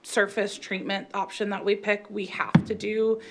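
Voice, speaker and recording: A woman's voice: mid-range voice, little pitch movement, unhurried. An American woman on city staff explaining plans and answering questions in a meeting.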